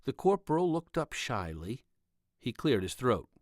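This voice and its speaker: A man's voice: medium pitch, sing-song, unhurried, no room sound. A man narrating scripted fiction as an audiobook reader, recorded on a condenser microphone in a home studio. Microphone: cardioid condenser microphone with pop filter.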